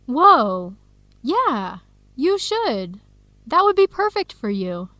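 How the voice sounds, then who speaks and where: A woman's voice: high-pitched, very animated, slow, sharp tone, no room sound, heavily acted. An actress playing a supportive, enthusiastic young woman, a close friend, in an educational radio drama. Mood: supportive, upbeat. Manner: encouraging, enthusiastic.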